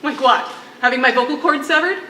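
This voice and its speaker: In a roomy, echoing space with faint noise, a condemned woman prisoner in a stage drama. Her voice is highish and bright, and she speaks with earnest, deliberate weight as a defiant, devoted mother. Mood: wry, defiant. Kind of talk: theatrical stage play acting. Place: theatre stage, live performance.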